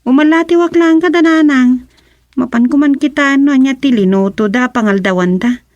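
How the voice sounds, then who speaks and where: A woman plays a practical, nagging townswoman in a radio comedy serial. Her voice is high and recorded close and dry. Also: normal speed, a little mellow, no room sound, lo-fi sound, lightly acted. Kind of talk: radio serial comedy drama dialogue in Ilocano.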